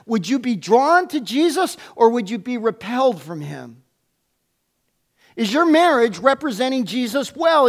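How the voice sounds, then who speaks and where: A man preaches a sermon in a high, ringing voice, loud and emphatic. He presses his questions again and again and slips in playful asides between points. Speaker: pastor.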